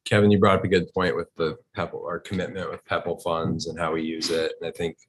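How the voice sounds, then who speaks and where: A man's voice: low voice, level tone, fairly quick, slight background noise. A man talking as a board member in a meeting, deliberating in a tentative, conversational way. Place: indoor board meeting room.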